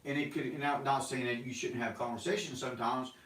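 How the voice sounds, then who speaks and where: A man in his forties hosting a casual, energetic sales talk to camera, rambling with restarts. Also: medium pitch, fast, echoey room, far from the mic. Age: 40s. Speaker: host. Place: small studio room with banners and props.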